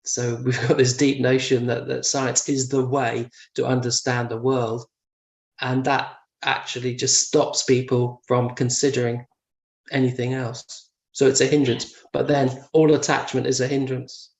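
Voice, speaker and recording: A man in a casual discussion of Buddhist teaching, talking hesitantly with fillers and soft laughs over a video call. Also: mid-range voice, flat delivery, unhurried, gravelly, roomy sound. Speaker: discussion participant.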